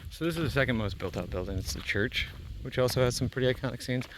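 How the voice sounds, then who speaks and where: A man's voice: medium pitch, fast, background noise. A young man touring an abandoned film set for his vlog, talking loosely with lots of fillers and casual swearing, recorded on a handheld camera outdoors and inside an empty building. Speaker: vlogger touring a site. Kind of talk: casual walk-and-talk vlog.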